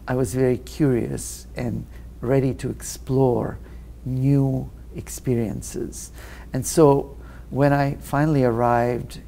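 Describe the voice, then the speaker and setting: A man answers questions in an interview, thinking aloud with frequent pauses. His voice is dark and mellow, with a gravelly edge, and he talks at an unhurried pace. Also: medium pitch, a little hiss or hum. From Russia. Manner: slow, thoughtful, many hesitations. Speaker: guest pianist.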